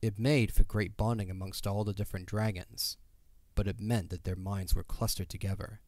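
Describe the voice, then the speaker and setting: A man's voice: fairly low pitch, fairly even intonation, moderate pace, darkish tone, no room sound. A man reading scripted third-person story narration as the narrator of an audio drama.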